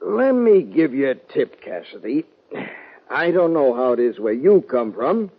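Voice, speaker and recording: A hard, hostile Old West sheriff with a Western accent. His fairly high voice is curt and blunt, heard through a tinny old radio recording. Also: slightly rough. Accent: American Western. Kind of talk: 1950s radio western acting.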